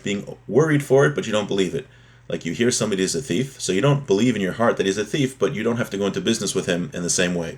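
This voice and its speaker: Man, somewhat low pitch, religious teacher, didactic, explanatory, restates key points. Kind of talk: explanatory religious lesson, reading a text aloud and explaining it.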